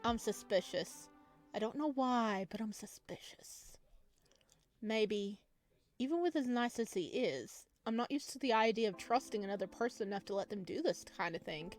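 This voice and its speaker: A woman's voice: mid-range voice, expressive, moderate pace. A woman chatting casually and giggly as she plays a game and reads its story text aloud. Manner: chatty, giggly, reacts aloud while reading. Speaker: let's play host and reader. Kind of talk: casual game commentary and reading visual novel text aloud. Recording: music behind the voice.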